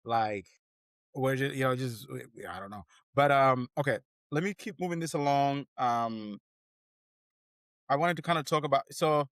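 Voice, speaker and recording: A man's voice: medium pitch, normal speed, fairly bright tone, no room sound, studio quality. Rambling, easygoing male podcast host. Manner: rambling, loose, full of fillers and restarts. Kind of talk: casual interview chat.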